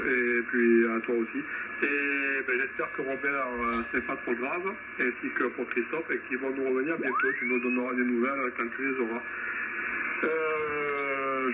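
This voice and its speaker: Man on ham radio, medium pitch, thin phone-like sound, really loud static. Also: moderate pace. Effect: ham radio transmission. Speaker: amateur radio operator. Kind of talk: casual radio chat among ham operators. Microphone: radio transceiver microphone. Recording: narrow-band shortwave radio, loud static hiss.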